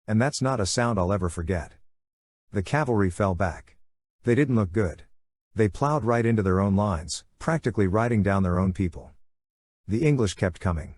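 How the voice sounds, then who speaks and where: A man's voice: lowish voice, a little mellow, no room sound, clean studio sound. A man narrating a first-person adventure novel in a conversational, punchy, dramatic way. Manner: conversational, punchy short phrases, acts out sound effects. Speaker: audiobook narrator.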